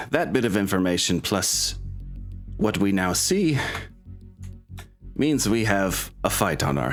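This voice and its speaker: A man voicing a composed female military captain in a tabletop game, giving a formal, measured briefing. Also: low voice. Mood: grave.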